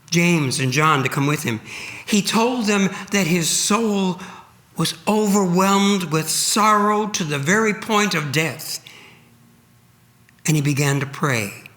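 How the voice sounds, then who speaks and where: A man reading a dramatic sacred narrative solemnly at a church service, measured and with deliberate pauses. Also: fairly high pitch, a bit bright. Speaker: reader at a church Good Friday service.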